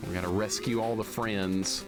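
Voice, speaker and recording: A man's voice: on the low side, steady tone, moderate pace. A man giving casual, grumbling and sarcastic commentary while streaming a video game. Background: game music.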